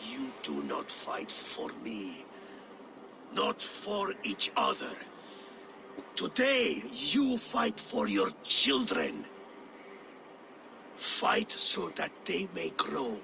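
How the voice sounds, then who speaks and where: Gravelly old viking chief, slow, lively pitch, proud and fatherly, ambient noise behind. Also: exaggerated voice. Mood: rousing. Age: older. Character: old frail Norse jarl, proud warrior chieftain. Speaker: aging jarl of a Norse clan. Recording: game audio with ambient noise.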